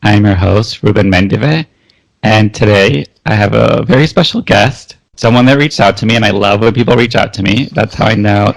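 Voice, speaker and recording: A man's voice: on the low side, gravelly, poor audio. A man hosting a podcast, asking questions in a friendly, encouraging, conversational way. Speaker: podcast host. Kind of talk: casual interview hosting.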